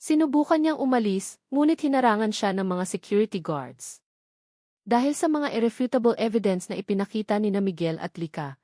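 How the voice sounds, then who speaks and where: A woman narrates a scripted drama in an earnest, measured storytelling manner. Her pitch sits in the middle range for a woman, and the sound is dry, with very little room around it. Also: a little mellow, no room sound.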